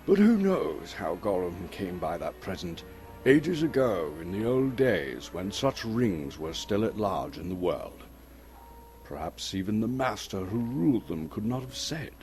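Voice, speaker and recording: A man's voice: low voice, sing-song, measured pace, a little mellow, faint music behind. A man from Canada gives a dramatic narration of a storybook, theatrical and suspenseful, lingering on key words. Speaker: actor reading as narrator.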